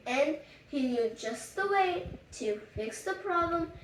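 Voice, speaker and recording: An echoey, distant recording of a high-voiced storyteller. The telling is unhurried and halting, with words repeated as a bedtime tale is made up on the spot. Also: lively intonation, a bit bright. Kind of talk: improvised bedtime storytelling with acted lines. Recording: echoey room, distant mic, faint noise, clicks.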